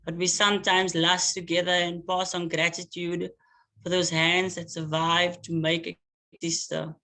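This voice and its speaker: Man reading poetry slowly. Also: high voice, completely flat delivery, pushed and bright. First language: Afrikaans. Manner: slow, measured recitation with hesitant asides between pieces. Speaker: poet.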